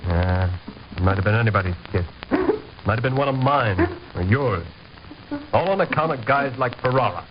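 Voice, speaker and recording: A man playing a forceful but fatherly American border patrol officer, emphatic and folksy, in an old-time radio drama. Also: medium pitch, expressive, moderate pace, long pauses, natural voice. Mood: regretful. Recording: muffled, noisy old radio recording.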